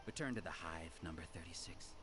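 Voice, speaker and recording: An actor playing an arrogant, scheming scholar villain in a video game, smug and grandiose in manner. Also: male voice, low voice, brisk, a bit bright, slight background noise. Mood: scornful, commanding. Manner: smug, grandiose, theatrical monologuing. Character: arrogant scheming villain scholar who leads a hive mind. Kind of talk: scripted video game voice acting.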